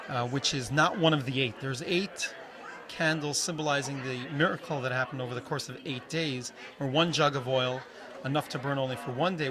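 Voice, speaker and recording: A man, a rabbi, giving fluent, explanatory answers in an interview at a community event. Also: mid-range voice. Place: outdoor community holiday event. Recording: noisy crowd outdoors. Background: crowd chatter.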